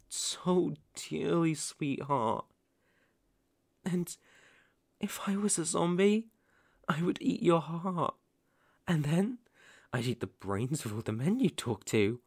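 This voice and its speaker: A man's voice: on the high side, vocal fry, breathy edge, dry recording, exaggerated voice. A young man playing a possessive, unhinged yandere boyfriend in an ASMR roleplay, sugary and menacing, theatrical and coaxing with sudden intense swells, close on the microphone. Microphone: close studio microphone.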